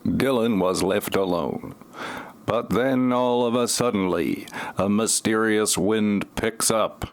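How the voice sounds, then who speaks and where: A man narrating a parody audio play in a deadpan, pompous, mock-serious way. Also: fairly low pitch, slow, slight background noise.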